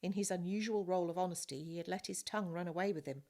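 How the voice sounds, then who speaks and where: A woman with a low-pitched voice narrates a storybook. She reads scripted prose as an audiobook reader. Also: fast talker, mellow.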